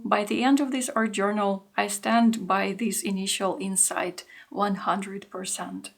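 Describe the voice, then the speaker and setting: Woman in her thirties, gentle reflective voice-over, slight fry at phrase ends. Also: mid-range voice. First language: Russian. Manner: calm, unhurried, introspective. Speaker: art journaling creator.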